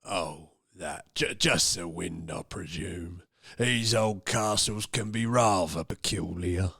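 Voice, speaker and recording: A creepy Hungarian count in a comic radio play. The voice is very low and husky, recorded dry and close. He speaks slowly and formally, with ominous courtesy. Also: a bit bright, dry recording, full character voice. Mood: suavely sinister. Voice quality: low, gravelly. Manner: slow, ominous, formal, speaks of himself in third person. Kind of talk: old-time radio theatre comedy acting.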